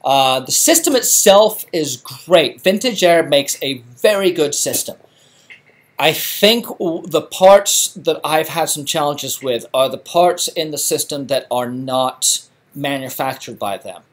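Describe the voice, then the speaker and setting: Middle-aged man from England, casual live-stream host, relaxed chatty delivery with fillers. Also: fairly high pitch, measured pace. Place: home garage.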